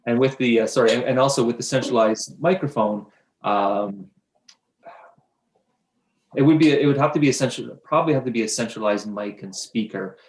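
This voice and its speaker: A man's voice: medium pitch, normal speed, roomy sound. A man on staff presenting options at a council meeting, explaining carefully with frequent restarts and hedges. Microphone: video conference mic.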